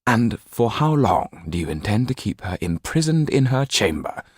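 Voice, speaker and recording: A man voicing a formal French lawyer in an audiobook, measured and firm, with dry irony and quiet disapproval. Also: medium pitch, very animated, slightly rough, no room sound, voice pushed up.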